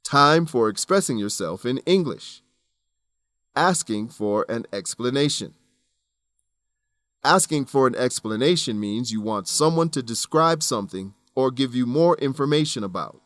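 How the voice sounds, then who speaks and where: A man reading scripted educational narration for an English lesson, enunciating clearly in an instructional manner. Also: medium pitch, animated, a little slow, fairly bright tone, dry recording. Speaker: narrator of an English-teaching radio program.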